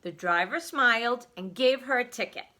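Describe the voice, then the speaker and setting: Animated, bright-voiced woman reading to children. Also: a little high, moderate pace, a little hiss or hum. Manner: expressive, dramatic emphasis, asks the listener questions. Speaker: children's story reader. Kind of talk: animated read-aloud storytelling for young children.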